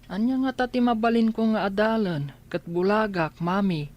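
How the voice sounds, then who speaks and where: A woman's voice: mid-range voice, normal speed, a little mellow, close to an everyday voice. A woman with an Ilocano Filipino accent playing an earnest, discouraged blind child in a radio drama, questioning plaintively. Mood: plaintive, doubtful.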